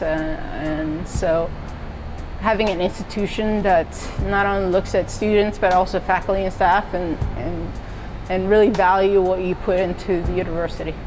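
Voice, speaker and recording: A middle-aged woman from Norway, a university fitness and wellness director, answering interview questions in a relaxed, conversational way with frequent fillers. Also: medium pitch, background noise. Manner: conversational, many "uh" and "so" fillers, laughs lightly. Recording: music playing underneath.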